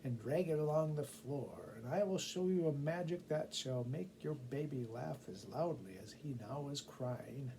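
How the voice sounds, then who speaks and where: A man voicing a sly, self-possessed cat in a storybook, speaking smoothly and deliberately. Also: mid-range voice, animated, dark tone, a little hiss or hum, poor audio. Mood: coaxing.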